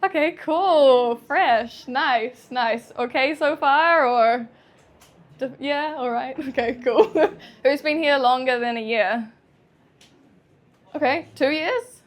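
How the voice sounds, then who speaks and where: A young woman with a New Zealand accent gives a talk to an audience indoors. Her voice sits a little high, and she sounds nervous but chatty. She laughs often and fills her pauses with ums. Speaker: chiropractor giving a talk.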